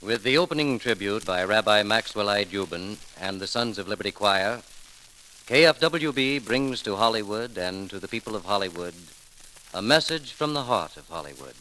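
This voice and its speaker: A man's voice: on the low side, animated, normal speed, pushed and bright. A man with an American accent making a formal, solemn, declamatory announcement on 1930s radio. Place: radio broadcast. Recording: hissy, crackly 1930s radio recording.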